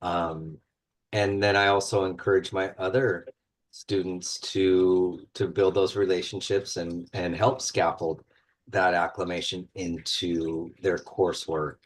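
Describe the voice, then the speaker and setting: Man, very low voice, instructor on a panel, casual and thoughtful. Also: little pitch movement, measured pace. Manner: conversational, thoughtful, many fillers. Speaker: university instructor. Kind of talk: panel discussion.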